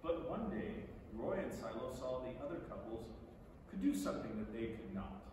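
A middle-aged man reading a storybook aloud on stage in an expressive, theatrical way. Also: medium pitch, moderate pace. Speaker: stage reader. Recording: echoey theatre from far off, noisy.

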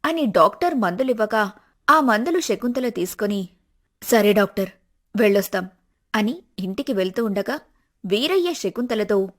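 A woman's voice: fairly high pitch, expressive, moderate pace, a bit bright. Woman narrating a scripted story.

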